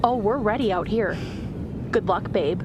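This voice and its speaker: A woman's voice: mid-range voice, measured pace, muffled recording, pitched above the performer's own voice. Taunting supervillainess on a noisy radio, woman acting. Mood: taunting, breezy. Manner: taunting, breezy. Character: mocking, flippant female supervillain teammate over radio. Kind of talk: audio roleplay acting.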